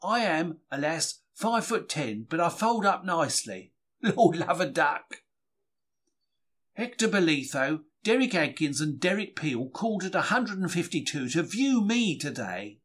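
A man reads diary entries aloud as a dramatic first-person account. His voice sits a little high and moves in lively swings, with an expressive, wry storytelling manner. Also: unhurried. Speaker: diary reader.

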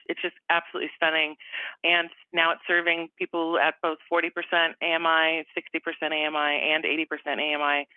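A man's voice: on the high side, dry recording. A man giving informal, emphatic interview remarks in colloquial words over a tinny phone line. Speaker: housing developer interviewee.

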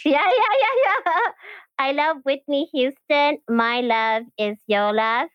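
A woman from Kenya, a podcast guest, chatting casually and hesitantly, often pausing to search for words and laughing. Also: sits high, no room sound, dull audio. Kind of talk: casual interview chat.

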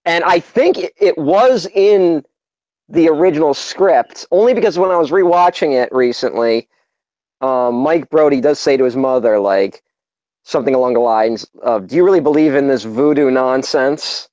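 A man's voice: a little high, expressive, moderate pace, a little mellow, no room sound, highs cut off. A man co-hosting a casual movie podcast, laid-back and loose, peppering his talk with "like". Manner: laid-back, lots of "like", laughs easily, swears. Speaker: podcast co-host.

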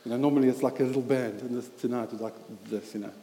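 A man in his thirties with a South African accent, preaching a sermon with a personal story in a halting, earnest way with many fillers. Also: mid-range voice, fairly even intonation, warm tone. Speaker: lay preacher. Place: church hall. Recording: echoey hall with long reverb.

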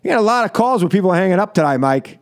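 A high-voiced American man holds forth as a political talk radio host. His pitch leaps around as he drives points home, repeating phrases with combative emphasis. The delivery is an opinionated call-in show monologue. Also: big pitch swings, very fast.

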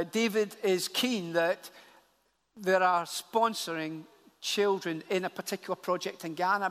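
A man's voice: high-pitched, a bit bright. A man from Glasgow, a church leader making an earnest appeal to the congregation during a service. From Glasgow, Scotland. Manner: earnest, repeats phrases for emphasis. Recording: church hall reverb.

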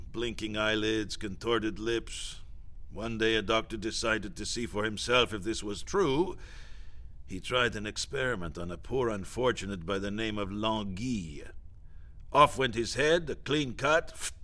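An actor playing an evasive, genteel deputy minister in a satirical audio drama, wordy, formal and hesitant, with a drily macabre, blandly menacing air. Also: male voice, on the low side, sharp tone.

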